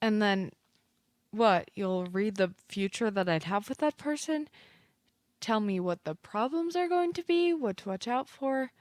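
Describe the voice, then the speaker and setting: A woman's voice: medium pitch, animated, a little slow, darkish tone, dry recording, clean studio sound, lightly acted. A woman playing a lovelorn, stubborn, skeptical client in an audio play, with a dramatic, petulant manner and quaint mild oaths. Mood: doubtful. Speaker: actor.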